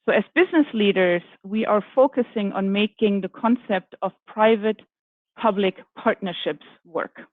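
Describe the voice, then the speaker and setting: A woman with a German accent, an architecture firm executive speaking on a panel in a measured way, with frequent ums and self-corrections. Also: mid-range voice, no echo. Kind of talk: panel talk. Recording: dry, phone-like video call audio.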